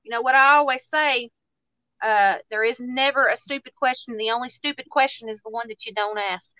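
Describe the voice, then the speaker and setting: A woman's voice: a little high, fairly quick, bright, forceful tone, dry recording, dull audio. A woman in her thirties with a Southern US accent, chatting and joking casually while hosting a craft livestream. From Tennessee, USA. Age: 30s. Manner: chatty, joking, self-mocking.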